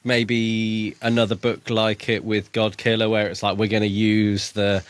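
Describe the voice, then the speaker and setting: Male podcast co-host, lower register, dry close sound, casual book discussion. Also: flat delivery, unhurried, smooth, dry recording.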